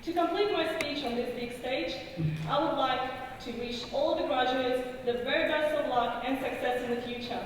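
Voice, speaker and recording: A woman's voice: high-pitched, moderate pace, hardly any pauses. A young woman with a non-native English accent gives a formal, carefully delivered prepared speech as a student representative at a ceremony. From outside Australia. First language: not English. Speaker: student speaker at a graduation. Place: large graduation hall. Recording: echoey hall, distant mic, noisy.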